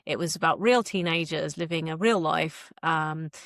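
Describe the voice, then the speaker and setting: Lowish-voiced woman, dry close sound. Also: moderate pace, vocal fry, fairly bright tone, no room sound. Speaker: author, podcast guest. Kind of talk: casual interview chat.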